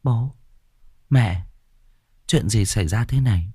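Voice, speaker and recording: A terrified young village woman in a horror story, her voice high and shaking as she pleads in panic, put on by a male narrator. The sound is dry and close, with no room around it. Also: slow pace, a little mellow, husky, no room sound. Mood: dazed.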